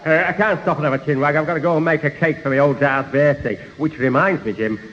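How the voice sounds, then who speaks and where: An actor playing a jovial, blustering neighbour who tells boastful tall tales pompously in a 1970s radio sitcom. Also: male voice, a little high, fast, a bit bright, lightly acted. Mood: breezy. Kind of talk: 1970s radio sitcom acting before an audience. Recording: muffled old radio hall recording, hiss.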